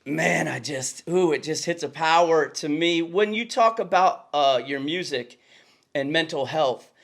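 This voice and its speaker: A man's voice: on the high side, a little slow, gravelly, a bit bright. A man hosting a casual interview, asking questions with enthusiasm and complimenting his guest. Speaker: podcast host.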